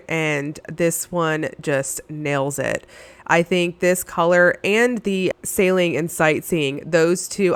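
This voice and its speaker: A woman's voice: low voice, clear, resonant tone, no room sound. A woman with a nasal, stuffy voice from being under the weather, enthusiastically reviewing nail polishes in a voice-over with frequent "uh"s. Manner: enthusiastic, gushing, with frequent fillers.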